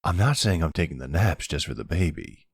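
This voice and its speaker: A man playing a weary, affectionate older retired mafia boss in an audio roleplay, gently gruff and unhurried. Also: deep voice, expressive, dry recording, clean studio sound, exaggerated voice. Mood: tired, easygoing.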